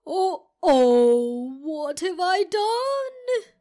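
A greedy, careless storybook dog that bullies and whines, loud and high-pitched with a lively, swooping voice, as a woman puts it on. Its lines come at a slow, emphatic pace. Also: heavily acted. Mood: dismayed.

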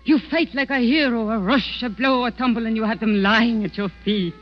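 An actress playing a high-voiced, exotic radio temptress. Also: female voice, a little fast, lightly acted. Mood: teasing, admiring. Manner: dramatic, ornate phrasing. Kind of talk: 1940s-50s radio drama acting. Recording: muffled vintage radio recording, music behind.

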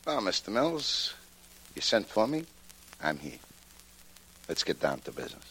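A man's voice: low voice, wildly swooping pitch, a little slow, exaggerated voice. A man playing a tough, self-assured hired gun, terse and blunt, in 1940s radio drama style. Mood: blunt, boastful. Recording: noisy old 1940s radio recording.

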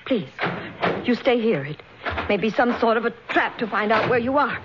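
A woman's voice: a little high, lively intonation, moderate pace, close to an everyday voice. A woman playing a frightened but determined young daughter in a 1950s radio mystery. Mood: anxious, resolute. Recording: lo-fi old radio, faint hiss.

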